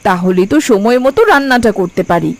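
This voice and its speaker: A woman's voice: medium pitch, lively intonation, fairly quick, darkish tone, natural voice. A woman voicing a caring, mildly scolding village housewife and mother in a cartoon. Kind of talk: Bengali cartoon voice acting. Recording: music behind the voice.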